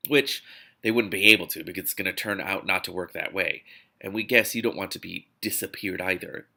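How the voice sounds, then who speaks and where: Blunt male field agent for an audiobook, read by a man: bright forceful edge, creaky, slangy and emphatic recruiter. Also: deep voice, a little fast, pitched below the performer's own voice, exaggerated voice. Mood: urgent, frank.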